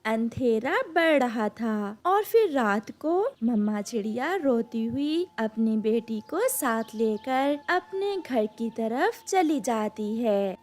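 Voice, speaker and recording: A woman with a fairly high voice narrates a children's story. She takes her scripted lines slowly. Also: lively intonation, darkish tone.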